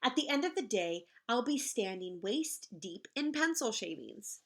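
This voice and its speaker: A woman reading a picture book aloud in the manner of a teacher, expressive and explaining things simply to children. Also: a little high, measured pace. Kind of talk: animated children's read-aloud with asides to the listeners.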